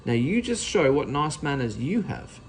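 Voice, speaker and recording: A man voicing a stern, easily scandalised older aunt with a sharp, scolding manner, in a children's storybook reading. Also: fairly high pitch, very animated, normal speed, slight background noise. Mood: instructing.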